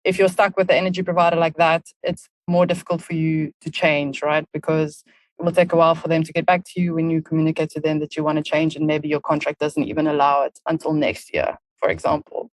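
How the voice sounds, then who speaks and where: South african woman on a podcast, lowish even voice, lots of ums, dry sound. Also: fast, no echo, rough recording. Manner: explains at length with frequent "um" fillers. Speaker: podcast guest, marketing lead. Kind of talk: casual interview talk.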